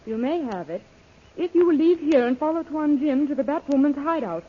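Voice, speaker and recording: High-voiced, anxious young heroine. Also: female voice, expressive, fairly quick. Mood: coaxing. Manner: pleading, melodramatic. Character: anxious young woman, headstrong and coaxing. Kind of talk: old-time radio adventure serial acting. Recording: muffled old radio recording.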